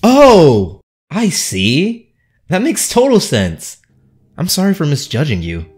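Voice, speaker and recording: A man's voice: fairly high pitch, wildly swooping pitch, slow pace, a little mellow, slightly rough, full character voice. A young man voicing a cocky but lovesick cat-themed teenage superhero in a comic dub, wry, playful and hesitant. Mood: forced cheer. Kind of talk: animated-style comic dub acting.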